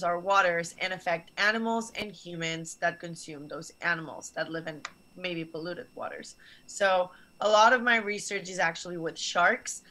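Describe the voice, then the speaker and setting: A woman's voice: fairly low pitch, sharp tone, poor audio. A young woman, originally from Barcelona, explaining science to students earnestly over a video call from a small office. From Barcelona, Spain. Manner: earnest, explanatory, gestures while talking. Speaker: guest scientist. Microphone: video call webcam.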